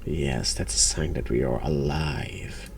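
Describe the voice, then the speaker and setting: Very deep, gravelly, deadpan man. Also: takes it slowly, slight background noise. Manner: dry, deadpan sarcasm. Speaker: streamer playing a horror game. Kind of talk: casual game commentary. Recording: music behind the voice.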